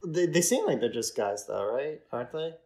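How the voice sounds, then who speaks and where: A man's voice: fairly high pitch, animated, normal speed, mellow. Casual man co-hosting a podcast. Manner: informal, frequent "like", opinionated. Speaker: podcast co-host. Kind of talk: casual film discussion chat.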